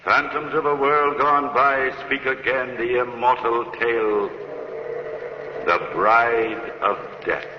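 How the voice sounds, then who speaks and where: An actor playing a grave, theatrical narrator of an old-time radio horror tale. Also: male voice, mid-range voice, steady tone, slow, slight background noise, natural voice. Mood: ominous. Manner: theatrical, portentous. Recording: muffled old radio recording.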